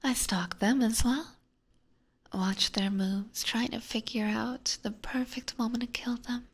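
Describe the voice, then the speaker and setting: A breathy, whispered voice with a soft crackle, a woman acting as a clingy yandere vampire catgirl. She speaks in a doting, menacingly sweet way, lingering on her words. It is an intimate ASMR roleplay, close on a binaural mic. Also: a little high, fairly even intonation, unhurried. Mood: possessive, menacing. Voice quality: breathy, mostly unvoiced whisper. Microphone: close binaural ear microphone.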